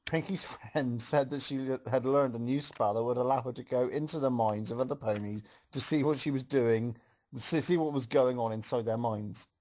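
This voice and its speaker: A man's voice: medium pitch, moderate pace, darkish tone, rough recording. A man reading a story aloud to a group as host, with amused, joking asides.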